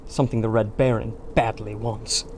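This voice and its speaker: An actor playing an earnest, easily exasperated scholarly historian in an adventure radio serial. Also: male voice, fairly low pitch, little pitch movement, moderate pace, background noise, close to an everyday voice. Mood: grave.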